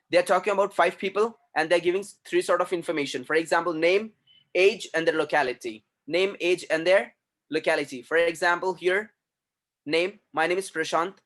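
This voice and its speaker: A man's voice: fairly high pitch, a little fast, fairly bright tone. Man teaching an online English class. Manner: repeats instructions, corrects pronunciation.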